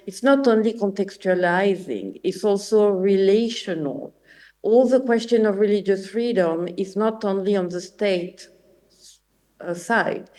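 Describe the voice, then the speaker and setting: A French-accented woman with a soft, mellow tone comments off the cuff in an academic discussion. She speaks haltingly, repeating words for emphasis as she builds her point. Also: mid-range voice. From France.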